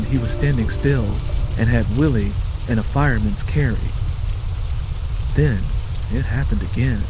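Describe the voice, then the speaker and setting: A man narrating a scripted audio drama as a dramatic storyteller. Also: mid-range voice.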